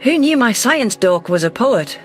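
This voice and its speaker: A man's voice: extremely high pitch, very animated, measured pace, soft background music, pitched above the performer's own voice, full character voice. A man voicing an affectionate, earnest alien governor in a science fiction audio story, speaking in a heartfelt way. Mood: tender, teasing.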